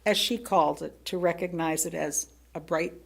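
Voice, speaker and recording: An American woman reads a sermon aloud as personal storytelling, speaking expressively with theatrical emphasis and pauses. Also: lowish voice, fairly even intonation, gravelly. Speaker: lay reader delivering a written sermon.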